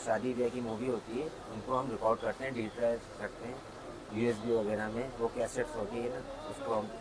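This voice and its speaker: Male shopkeeper, Pakistani Karachi accent, middle-pitched, informal explanatory interview answers, recorded amid a noticeable crowd. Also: little pitch movement, moderate pace, poor audio. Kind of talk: casual on-site interview answers. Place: inside a small video dubbing shop. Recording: busy shop with people chattering.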